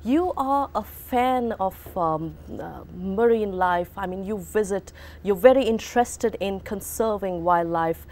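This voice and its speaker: A woman interviewing a guest on TV, asking measured questions with many fillers. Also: mid-range voice, darkish tone.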